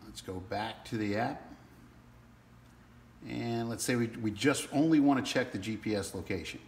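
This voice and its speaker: Low-voiced man giving a casual tutorial. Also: moderate pace, a little mellow. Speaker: product demonstrator. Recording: roomy sound with faint background noise.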